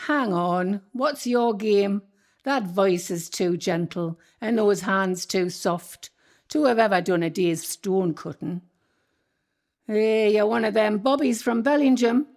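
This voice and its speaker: A sharp-tongued landlady's ghost, voiced by a woman in a radio play, speaking with a Northumbrian accent. She scolds and bosses the people she meets and is quick to defend herself. Her voice sits at an ordinary middle pitch for a woman. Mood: suspicious. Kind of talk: community radio play acting.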